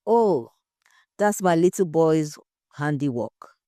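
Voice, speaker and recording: Storyteller lady doing an expressive married hostess, emphatic, mellow voice, dry sound. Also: deep voice, takes it slowly, no room sound. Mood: gracious. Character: gracious married hostess, grieving friend, defensive when questioned.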